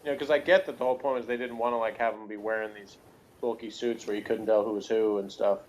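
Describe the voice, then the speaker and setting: A man's voice: fairly low pitch, steady tone, fast talker, slight background noise, low-quality recording. Casual guy in rambling review chat. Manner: rambling, jokey, pop-culture asides. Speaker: podcast reviewer.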